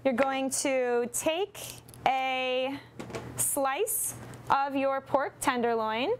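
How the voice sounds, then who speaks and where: Young woman w a high voice, slow calm recipe walkthrough, studio kitchen, bit of background hiss. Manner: instructive, steady, with occasional ums. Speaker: nutrition segment presenter. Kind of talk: cooking demonstration. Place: large steel studio kitchen, indoors.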